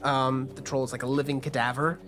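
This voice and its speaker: A man's voice: medium pitch, flat delivery, fairly quick, a bit bright, a little hiss or hum. A man improvising conversationally as game master, narrating scenes and voicing characters in a tabletop game. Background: faint music.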